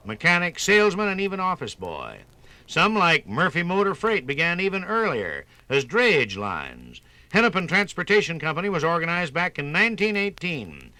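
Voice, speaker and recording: A man's voice: on the high side, bright, forceful tone, dry recording. A man narrating a scripted 1950s radio documentary in an emphatic, polished announcer's delivery. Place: radio studio.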